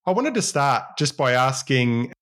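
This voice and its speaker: A man's voice: mid-range voice, very animated, measured pace, fairly bright tone. Upbeat man hosting a podcast. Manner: upbeat, enthusiastic presenter. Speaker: podcast host. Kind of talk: podcast intro and interview. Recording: faint music under voice.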